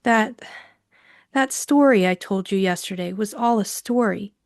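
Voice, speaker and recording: A woman voicing a timid, troubled young female client in an audiobook, hesitant and pleading, with a hushed, trembling delivery. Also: medium pitch, a little slow, no echo, studio quality, natural voice. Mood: hesitant, ashamed. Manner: hushed, hesitant, quivering, stammering. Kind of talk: audiobook character acting.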